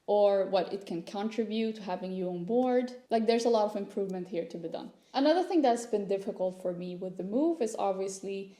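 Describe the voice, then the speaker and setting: Mellow woman in an echoey room. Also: mid-range voice, a little fast, hardly any pauses. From Sweden. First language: Swedish. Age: young adult. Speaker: content creator. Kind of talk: casual personal vlog chat. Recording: roomy echo.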